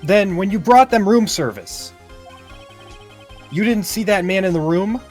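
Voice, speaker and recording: A man's voice: high voice, a little slow, a little mellow, faint music behind. A man voicing a confident, needling young defense lawyer, questioning pointedly in an improvised video game dub. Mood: pressing, confident.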